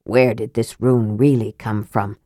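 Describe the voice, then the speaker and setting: A woman voicing a grumpy, skeptical old male dwarf who grumbles gruffly. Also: extremely low pitch, unhurried, darkish tone, dry recording, clean studio sound, voice pushed down.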